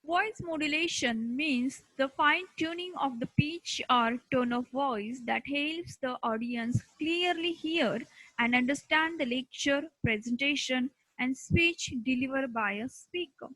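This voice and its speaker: A woman with an Indian accent teaches in a high, bright voice. She moves slowly through her lecture in short, emphatic phrases, setting each point out deliberately. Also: no room sound, poor audio. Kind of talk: instructional lecture.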